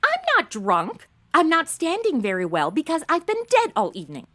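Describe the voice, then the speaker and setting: A brash young modern woman in a comic audio drama. Her voice is high and bright, swinging freely in pitch. She is ditzy, blunt and full of slang. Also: wildly swooping pitch, moderate pace, full character voice. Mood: indignant, pushy. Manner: blunt, slangy, valley-girl phrasing. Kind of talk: comedic audio drama acting.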